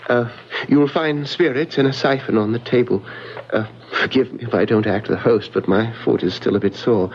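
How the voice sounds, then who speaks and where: A man playing a nervous, reclusive English gentleman, halting, formal and fretful, in old-fashioned radio mystery acting. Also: mid-range voice, slight background noise, natural voice. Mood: anxious courtesy. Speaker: radio drama actor. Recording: muffled vintage radio recording.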